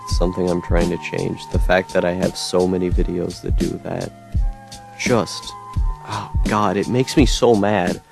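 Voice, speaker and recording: A young man talking to camera in a rambling monologue with a droning, monotone voice, full of ums, restarts and frustrated swearing. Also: low voice, unhurried, gravelly, faint music behind. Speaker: YouTuber. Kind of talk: personal monologue.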